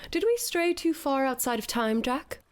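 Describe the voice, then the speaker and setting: A woman's voice: high-pitched, lively intonation, normal speed. An actress playing a sardonic, lovelorn woman aboard a tall ship in an audio drama, sarcastic and given to dramatics. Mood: melodramatic, forlorn.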